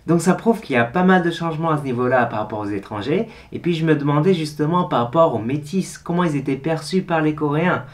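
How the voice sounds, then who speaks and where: A man hosting, narrating a calm, explanatory voice-over. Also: fairly high pitch, fast talker, hardly any pauses. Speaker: host.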